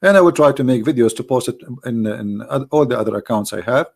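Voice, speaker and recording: A man's voice: medium pitch, expressive, fast talker, vocal fry, a little mellow. A man hosting a religious video, delivering an argumentative monologue in non-native English phrasing. He speaks emphatically, repeating points and asking rhetorical questions.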